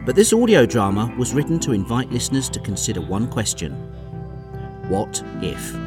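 A man's voice: mid-range voice, very animated, moderate pace, music in the background. A man delivering scripted narration as the narrator of an audio drama.

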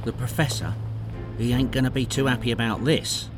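An actor playing a cheeky, bickering Cockney henchman in an audio play, mock-polite in rough grammar. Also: mid-range voice, steady tone, fairly quick, over music, lightly acted. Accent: Cockney English.

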